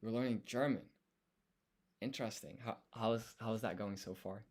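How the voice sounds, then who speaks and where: A man's voice: on the low side, moderate pace, darkish tone, a little hiss or hum. A man livestreaming casually as he studies, thinking aloud and sounding out words syllable by syllable. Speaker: live streamer studying Japanese. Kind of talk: casual live study chat.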